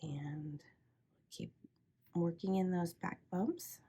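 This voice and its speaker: A woman with a head cold, sounding congested, calmly talking through a crochet tutorial step by step. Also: on the low side, slow, breathy edge. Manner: calm, steady instructional pacing with soft asides. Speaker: crochet teacher.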